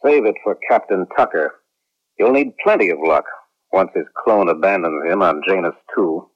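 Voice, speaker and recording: An actor playing a resentful, manipulative colonel in a late-1970s radio drama. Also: male voice, low-pitched, animated, moderate pace. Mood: menacing. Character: scheming, resentful male colonel, smooth manipulative instructor. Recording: muffled, lo-fi vintage radio recording.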